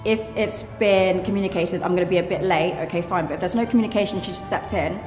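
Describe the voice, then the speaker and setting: A woman's voice: fairly low pitch, steady tone, fast. A woman in her twenties from the Philippines, a contestant chatting cheerfully and giggling. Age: 20s. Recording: echoey hall with music behind.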